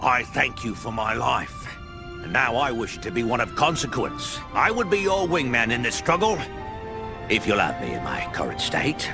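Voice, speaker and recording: A man's voice: medium pitch, very animated, moderate pace, pitched above the performer's own voice. A man voicing a humble old hermit owl in a video game, talking in a quaint, reverent manner. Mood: grateful, earnest. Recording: music under the voice.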